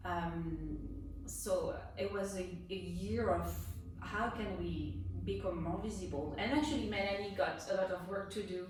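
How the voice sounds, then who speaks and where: A woman's voice: on the low side, unhurried, few pauses, noisy background. A woman from the south of France with a French accent, answering interview questions hesitantly, with many fillers and restarts. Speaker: interior stager, guest. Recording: echoey room from far off.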